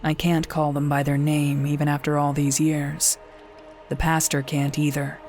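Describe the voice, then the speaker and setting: An actress playing a battle-hardened warrior sister, who narrates in the first person in a measured, firm way. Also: female voice, low-pitched, flat delivery, darkish tone, soft background music. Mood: calm firm. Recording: rain ambience behind the voice.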